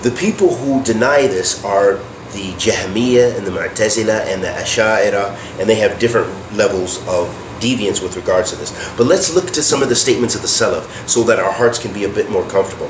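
A man giving a religious lecture, explaining terms deliberately and posing questions he then answers himself. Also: lowish voice. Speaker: Islamic teacher. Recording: echoey room with air-conditioning hum.

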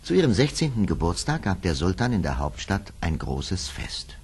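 Deep, husky male fairy-tale narrator. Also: sing-song, a little mellow. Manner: theatrical, measured storytelling.

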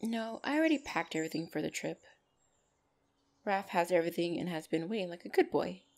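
A woman playing a gentle, shy orc lover in an ASMR roleplay, speaking softly and hesitantly with tender pauses. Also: lowish voice, animated. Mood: affectionate, slightly nervous.

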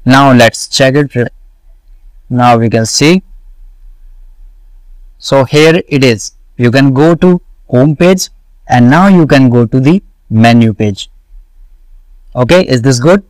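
A man walking through a coding tutorial, slowly repeating each step and answering his own questions. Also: mid-range voice, a little mellow, no room sound, poor audio. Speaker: web development tutor. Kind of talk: screen-recorded coding tutorial.

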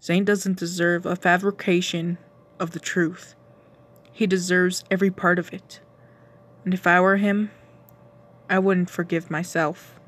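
A woman's voice: fairly low pitch, level tone, a little slow, long pauses. A woman narrator giving an amateur reading of a fan fiction story.

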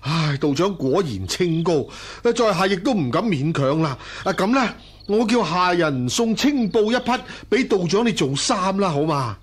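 A man playing an anxious, deferential rich gentleman in a radio drama, sighing and exclaiming as he talks. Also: high-pitched, lively intonation, moderate pace, few pauses, slightly rough. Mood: resigned, respectful. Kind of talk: Cantonese radio drama acting.

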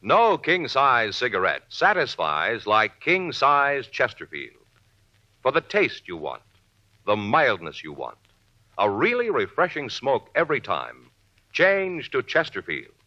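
American man, vintage ad announcer: bright, forceful, very swooping pitch, polished and emphatic. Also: huge pitch swings, a little slow. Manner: polished, emphatic sales delivery. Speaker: commercial announcer. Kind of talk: 1950s radio advertisement announcing. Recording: dull vintage radio recording.

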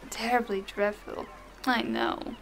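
A woman voicing a poised, mischievous female assistant who speaks in a formal, politely teasing whisper, with a clear ambience hiss behind her. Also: mid-range voice, big pitch swings, slow, a bit bright, exaggerated voice, poor audio. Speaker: personal assistant to the listener. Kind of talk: ASMR audio roleplay.

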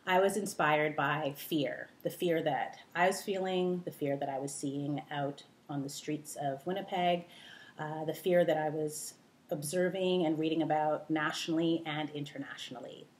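A woman playwright speaking reflectively and in a measured way to camera, indoors at home. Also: low-pitched, steady tone.

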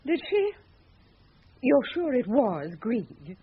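A woman's voice: fairly high pitch, huge pitch swings, takes it slowly, full character voice. Scornful hard-drinking woman, radio drama, swooping intonation, thin band-limited old recording. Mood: cool, bitter. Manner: sardonic, dismissive. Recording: muffled phone-like old radio recording, faint noise.

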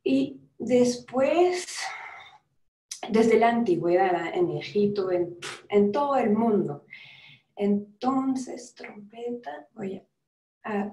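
Woman, French accent, unhurried, searching for words, video call, roomy room sound. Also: a little high, expressive, mellow. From France. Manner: careful, searching for words, laughs lightly. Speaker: guest lecturer, trumpeter. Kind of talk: online lecture. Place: room with brass instruments.

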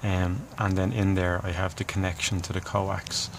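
A middle-aged man giving a casual, halting DIY demonstration as a hobbyist, thinking aloud as he goes. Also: deep voice, level tone, very noisy. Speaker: hobbyist presenter.

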